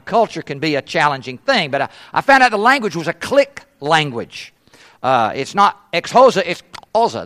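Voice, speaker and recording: Man, missionary preacher raised in East Africa, fairly high voice; emphatic sermon delivery that sinks to a hush. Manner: emphatic, trails off to a hush at phrase ends; asks rhetorical questions. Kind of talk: sermon at a conference.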